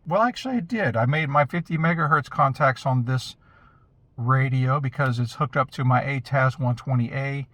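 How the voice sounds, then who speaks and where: A man's voice: mid-range voice, a bit bright, no room sound. A man giving a casual, unscripted recap as an amateur radio vlogger, chatty and informal, thinking aloud as he goes.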